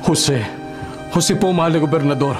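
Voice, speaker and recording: A humble Filipino eldest brother played by a male radio actor, his voice a little high for a man. He is earnest, dutiful and respectful, speaking over a clear musical score. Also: normal speed, music in the background, natural voice. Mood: pleading. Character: responsible eldest brother, humble earnest pleading adult son. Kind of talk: Filipino radio drama acting.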